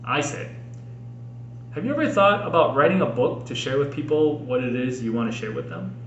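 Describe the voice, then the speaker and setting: An American man with a medium-pitched voice reads personal writing in the style of a homemade audiobook. He is earnest and expressive, setting out his phrases with care. The room echoes noticeably around him, with a faint noise underneath. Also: a little fast, highs cut off. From Minnesota, United States. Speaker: podcast host and author reading own book. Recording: roomy echo with faint background noise.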